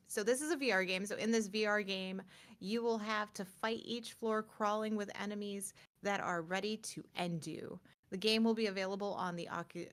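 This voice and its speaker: A woman co-hosting a gaming news show, chatting casually and informally with some reading from a script. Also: medium pitch.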